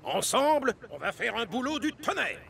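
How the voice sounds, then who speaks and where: A swaggering male diesel engine from a kids' cartoon. He is bossy and scheming, with a high-pitched, gravelly voice that swoops around in mockery. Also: big pitch swings, normal speed, a bit bright, full character voice. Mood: smug, eager. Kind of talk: animated children's cartoon dialogue.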